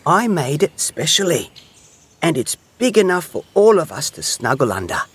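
Man voicing an excitable little hedgehog, high-pitched. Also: a little slow, lightly acted. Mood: excited, happy. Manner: eager, childlike, expressive. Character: excitable, tender-hearted little hedgehog, young male. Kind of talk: children's storybook character voice.

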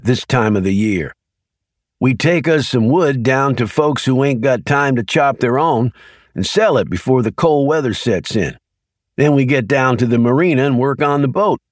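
A sly, easygoing country man in his fifties drawls in a folksy dialect, joking and stubborn, as a male audiobook narrator pitches his voice up for him. The voice sits noticeably higher and a little louder than the narration, and it is recorded dry and close. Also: no echo, close to an everyday voice. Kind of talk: audiobook character voice.

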